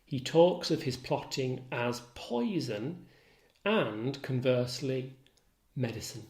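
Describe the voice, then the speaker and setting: A man lecturing as a literature tutor, measured, articulate and instructive in his delivery. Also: medium pitch, lively intonation, a little mellow. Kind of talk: literature lecture with recited quotations. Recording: roomy echo.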